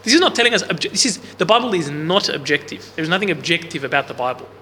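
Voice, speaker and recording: A man with a loud, bright, slightly high voice teaches a Bible class in a big room, with no microphone. He explains casually and keeps putting questions to the group. The recording carries a long hall reverb and a faint hiss underneath. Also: big pitch swings, fast talker. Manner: loud voice, speaks without a mic. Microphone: no mic on the speaker, recorder in the room.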